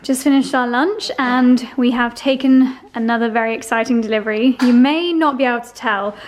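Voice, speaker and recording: A woman's voice: fairly high pitch, moderate pace, slight background noise. Gushing woman vlogger. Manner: effusive, gushing. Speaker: lifestyle vlogger. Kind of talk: casual vlog.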